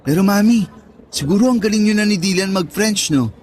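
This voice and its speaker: A high-pitched young-sounding son, affectionate and expressive in radio drama style. He speaks respectfully, with boyish eagerness, over a faint noisy background. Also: brisk. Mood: respectful, chatty. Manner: expressive, pleading, boyish, respectful to his mother. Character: affectionate, eager grown son and older brother. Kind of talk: Tagalog radio drama acting.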